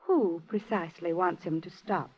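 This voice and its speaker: A woman's voice: lowish voice, normal speed, darkish tone, husky. An actress in a 1950s radio drama playing a refined, guarded married lady, plaintive and formal, with an old-fashioned dignified inflection. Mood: defiant. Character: refined, guarded married lady, sensitive former Communist, proud. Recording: muffled lo-fi old radio recording.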